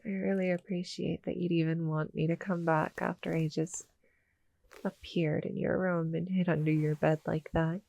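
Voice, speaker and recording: Woman playing a breathy, gentle little cuddle monster. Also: fairly low pitch, little pitch movement, moderate pace, highs cut off. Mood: sleepy, tender. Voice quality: soft, breathy, often slipping into whispers. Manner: gentle, hesitant, soothing. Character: small gentle female cuddle monster, sweet and cozy. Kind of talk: intimate ASMR audio roleplay. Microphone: close, dry studio-style mic.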